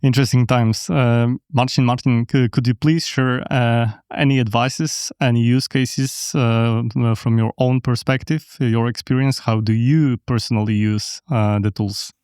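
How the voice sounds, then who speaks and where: A man's voice: medium pitch, fairly even intonation, measured pace, hardly any pauses, a little mellow, smooth, no room sound, clean studio sound. Podcast host man, non-native English. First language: Polish. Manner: brief questions and affirming mm-hmms. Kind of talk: interviewing guests.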